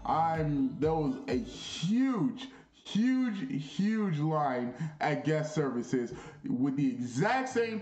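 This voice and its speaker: A young man vlogging in a casual, animated, slangy storytelling way, repeating phrases for emphasis in a small bedroom. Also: medium pitch, roomy sound, highs cut off. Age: young adult.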